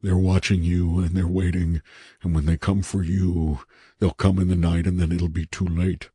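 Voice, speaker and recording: A man voicing a terrified, half-mad character in an audiobook, hoarse and strained, pleading and urgent as he gives his warnings. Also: deep voice, level tone, normal speed, mellow, no room sound, studio quality. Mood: fearful warning.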